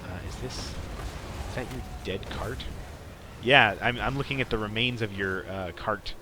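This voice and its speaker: Low-voiced man commentating a game, noisy background. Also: unhurried. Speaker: podcast host commentating gameplay. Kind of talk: casual gameplay commentary. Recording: clearly noisy background behind voice.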